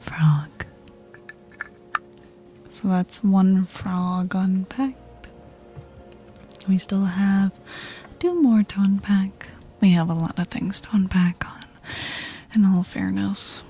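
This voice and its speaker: A woman with a deep voice streaming ASMR, soft-spoken and whispering, giggly and playful as she chats. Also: fairly even intonation, slow, long pauses, faint music behind.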